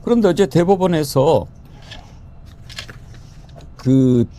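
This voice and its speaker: A man hosting a political panel talk, lively and joking, throwing questions to guests. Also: fairly high pitch, takes it slowly, slight background noise. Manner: lively, joking, quotes with raised voice. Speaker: talk show host.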